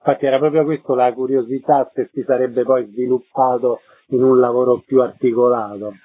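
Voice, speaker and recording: Very husky man interviewing on thin radio. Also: medium pitch, steady tone, fairly quick, dry recording, narrow, tinny audio. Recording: dry, thin band-limited radio sound.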